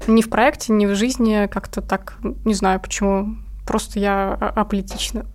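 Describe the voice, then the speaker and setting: A woman's voice: medium pitch, flat delivery, moderate pace, a little mellow. A woman speaking casually and informally as an interview guest, telling about her own creative work. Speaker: guest, illustrator and project creator.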